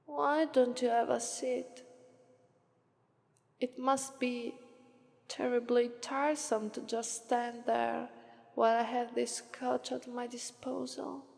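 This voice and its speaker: A woman with an Italian accent voicing a lazy, aloof, regal demon of Sloth in an unhurried, detached ASMR roleplay. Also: fairly high pitch, level tone, gravelly, darkish tone. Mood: languid, aloof. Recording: long reverberant hall echo.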